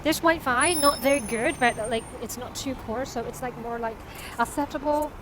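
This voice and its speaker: A woman whose first language is Cantonese, chatting casually to a vlog audience in a halting, self-correcting way. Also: sits high, noisy background. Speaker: vlogger and novelist.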